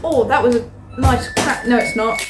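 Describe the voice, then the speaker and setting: A woman's voice: fairly high pitch, moderate pace, recorded from a distance, over loud music, lightly acted. A young woman playing a male outlaw cowboy in a jokey home cooking video, giggly and drawling "y'all" in her own everyday voice. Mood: playful. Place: home kitchen. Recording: roomy kitchen from off mic. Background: dishes and cutlery clatter.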